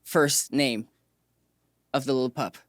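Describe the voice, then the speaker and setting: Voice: medium pitch, steady tone, takes it slowly. An interview show host with an encouraging, chatty manner who tends to repeat short phrases. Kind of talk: upbeat interview hosting with scripted introduction.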